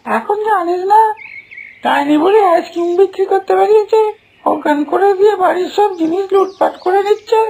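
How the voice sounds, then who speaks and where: A woman's voice: extremely high pitch, a little fast, gravelly, mellow. A woman voicing a wary, easily rattled young boy who scolds and exclaims in a children's cartoon. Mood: alarmed.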